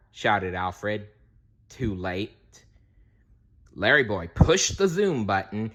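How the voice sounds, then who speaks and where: A man reading a children's storybook aloud as scripted narration, dramatic and expressive. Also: on the low side, husky, highs cut off. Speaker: storybook reader.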